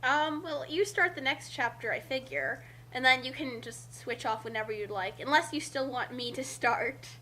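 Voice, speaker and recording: A woman's voice: sits high, animated, moderate pace, few pauses, pushed and bright, a little hiss or hum. A woman reading a story aloud in a playful, dramatic way, with casual asides as a co-host.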